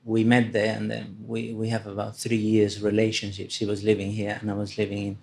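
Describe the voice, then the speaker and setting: Low, flat-voiced man. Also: creaky. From Spain. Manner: earnest, halting, restarts often. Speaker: audience member sharing a testimony.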